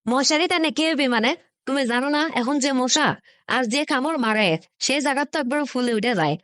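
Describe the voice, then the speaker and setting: A woman's voice: high-pitched, a little fast, a bit bright, no room sound, clean studio sound. A woman playing a nagging, sceptical village housewife in a comic cartoon, questioning and exclaiming, with a northern Bangladeshi Bengali accent. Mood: nagging, exasperated.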